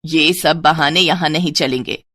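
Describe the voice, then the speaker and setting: Woman voicing a harsh, nagging cartoon mother-in-law, low-pitched. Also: measured pace, dry recording, studio quality, exaggerated voice. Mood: scolding. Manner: sharp, nagging, taunting. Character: harsh scolding middle-aged mother-in-law, bossy and taunting. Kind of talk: Hindi moral-story cartoon acting.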